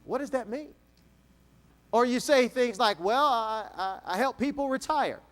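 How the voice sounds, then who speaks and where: A man from Texas giving a motivational keynote speech to an audience, playful and joking, slowing down for emphatic lines. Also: very high voice. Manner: animated, dramatic pauses, slow emphatic dictation. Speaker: motivational speaker.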